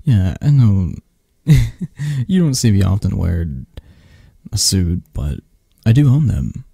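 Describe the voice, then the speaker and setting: A man playing a possessive, flirty mafia boss who is a tender lover, in a soft, intimate, halting ASMR romance roleplay. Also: low-pitched, sing-song, vocal fry, breathy edge, no room sound, studio quality, heavily acted. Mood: relaxed, possessive.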